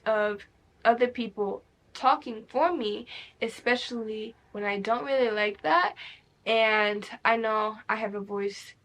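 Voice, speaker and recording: A woman's voice: on the high side, a bit bright. Young woman rambling candidly on her podcast. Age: young adult. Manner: rambling, candid, swears freely. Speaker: podcast host. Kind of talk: casual solo podcast talk.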